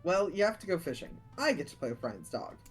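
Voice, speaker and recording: A man voicing a dry, sarcastic teenage daughter in a video game, with a flat deadpan delivery. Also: sits high, brisk, faint music behind.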